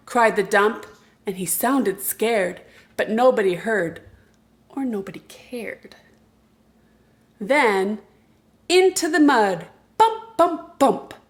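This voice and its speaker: A woman narrates a picture book for young children. Her voice sits very high. She reads slowly and expressively, keeping a deliberate rhythm. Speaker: children's storytime reader.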